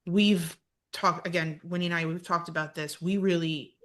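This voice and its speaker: Low-voiced woman in her thirties co-hosting. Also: fairly even intonation, moderate pace, fairly bright tone. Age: 30s. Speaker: podcast co-host. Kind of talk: casual chat.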